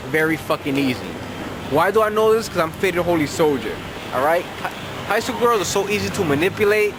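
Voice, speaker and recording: A man's voice: high-pitched, rough recording. A young man giving blunt, profane advice on a vlog, reading out viewer letters while tipsy. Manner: brash, profane, slangy. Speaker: advice vlogger. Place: outdoors in a city at night.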